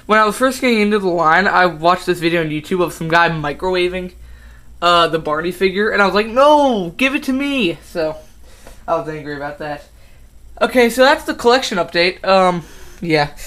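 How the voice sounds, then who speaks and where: A man's voice: high voice, fairly bright tone. A fifteen-year-old boy chatting casually about his toy collection, rambling with lots of ums and restarts. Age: teens. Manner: rambling, with many ums and self-corrections. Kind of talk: casual collection update vlog.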